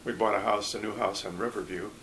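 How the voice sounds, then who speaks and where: An older man from Michigan recalling his past in a relaxed interview, speaking haltingly with frequent restarts and small chuckles. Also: lowish voice, slight background noise, poor audio. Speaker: oral history interviewee. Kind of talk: casual recollection.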